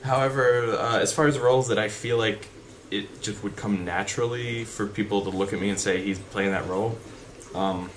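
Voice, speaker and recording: A young man with a deep voice chatting unscripted in a vlog, rambling with fillers and restarts. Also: normal speed, few pauses. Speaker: vlogger. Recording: background noise.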